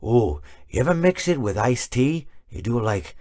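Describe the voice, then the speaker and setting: A man voicing a gentle, philosophical animatronic oddball in a game, rambling haltingly and stammering through a monologue. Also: medium pitch, sing-song. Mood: musing.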